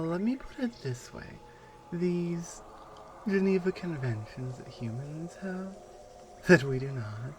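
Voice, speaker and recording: Casting: actress as proud Amazonian warrior woman for ASMR audio drama; commanding, unhurried, expressive range, noisy ambient backdrop. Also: low-pitched, exaggerated voice. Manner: measured, commanding, deliberate pauses. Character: proud stern Amazonian warrior woman guarding an enemy prisoner.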